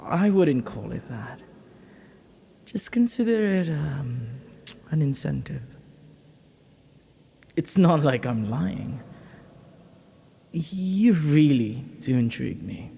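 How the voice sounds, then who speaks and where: A man's voice: on the high side, very animated, slow, vocal fry, long pauses, husky, heavily acted. A man playing a flirty, ancient banished villain in an intimate audio roleplay, lonely and teasing, with hesitant, murmuring delivery. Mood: flirty, wistful. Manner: flirtatious, hesitant, breaking into murmurs and soft chuckles.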